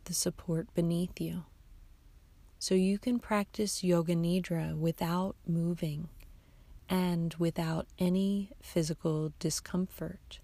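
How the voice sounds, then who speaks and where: Female yoga nidra guide: lowish, soft, soothing delivery, hushed and unhurried, in a very dry close recording. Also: dry recording. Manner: soothing, hushed, unhurried instruction with long pauses. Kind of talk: guided meditation narration.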